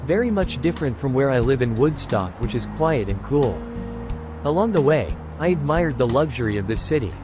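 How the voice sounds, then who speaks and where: A man's voice: mid-range voice, very animated, a little fast. A man reading scripted first-person story narration. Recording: music bed under the voice. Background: music.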